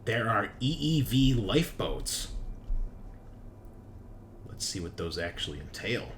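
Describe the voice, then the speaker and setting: Guy with a lowish voice gming a tabletop game, casual, bit of noisy ambience behind. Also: big pitch swings, takes it slowly, a bit bright. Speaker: game master of a tabletop roleplaying game. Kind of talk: casual improvised game narration. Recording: clearly noisy background.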